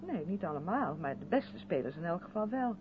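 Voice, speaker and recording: A narrow, hissy vintage radio recording carries an earnest, defensive woman of the theatre. Her mid-pitched voice moves animatedly as she insists and justifies herself in radio drama style. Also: sing-song, fairly quick. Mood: uneasy. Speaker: radio play actor. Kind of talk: Dutch 1970s radio drama acting.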